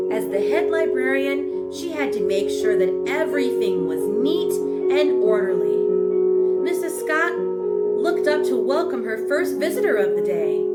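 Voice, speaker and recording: A woman narrating a children's story as host, with an expressive, deliberate storyteller delivery. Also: medium pitch. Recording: roomy echo under prominent music. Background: guitar and piano music score.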